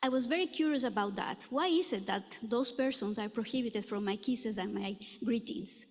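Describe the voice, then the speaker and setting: Middle-aged woman from ecuador giving a talk, kinda high voice, lots of ums, echoey hall. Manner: earnest, many filler pauses. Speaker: anthropology professor giving a talk. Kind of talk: conference lecture. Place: lecture room.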